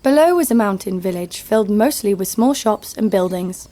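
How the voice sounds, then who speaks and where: A woman's voice: mid-range voice, animated, normal speed, dark tone, a little hiss or hum, close to an everyday voice. A woman playing a tough, sardonic fighter who narrates her own story with dry humour in an audio drama. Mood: wry. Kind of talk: scripted first-person audio drama narration.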